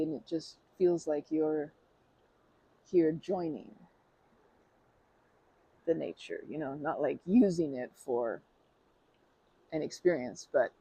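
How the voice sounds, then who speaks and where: Husky, gravelly woman rambling warmly. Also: low voice, low-quality recording. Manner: rambling and hesitant, often restarting and correcting herself; friendly and earnest, with light laughs. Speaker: ASMR roleplay performer. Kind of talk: ASMR roleplay, chatty stranger talking to the listener.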